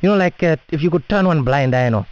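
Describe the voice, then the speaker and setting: A cheeky South African man of Indian accent makes a comic prank call. His voice sits a little high, and he rambles along in thick slang. The sound is dull, like a phone call. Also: expressive, fast talker, clear, resonant tone. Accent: South African Indian English. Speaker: prank caller.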